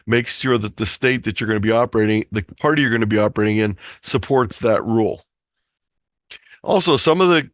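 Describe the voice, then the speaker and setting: A man's voice: medium pitch, dry recording, studio quality. An American man giving an informal, explanatory online presentation, talking listeners through practical instructions as a presenter. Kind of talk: informal slideshow lecture on a hobby. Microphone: close computer or headset mic on a video call.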